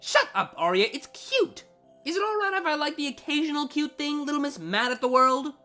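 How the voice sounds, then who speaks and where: A haughty siren woman acted by a female reader in a storybook tale, sarcastic and easily exasperated. Her biting delivery swings widely in pitch over faint music. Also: expressive, brisk, pushed and bright. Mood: defensive. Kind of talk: storybook fan fiction reading.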